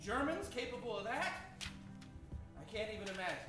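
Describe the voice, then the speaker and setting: Lively, high-voiced adventurer played by a woman. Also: brisk, heavy reverb, distant mic, over music. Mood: determined, incredulous. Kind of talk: comic old-time radio adventure acting.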